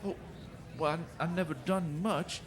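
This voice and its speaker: A man's voice: a little high, unhurried, a crowd in the background, natural voice. Man playing a hesitant, naive rural American drifter. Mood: naive, unguarded. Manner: hesitant, stammering, folksy. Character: simple, scrawny, naive male western drifter. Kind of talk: western radio drama acting. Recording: music behind the voice.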